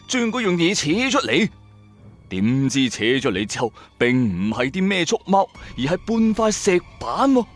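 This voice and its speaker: A man narrating a first-person supernatural horror story in a dramatic, colloquial storyteller's manner. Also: on the high side.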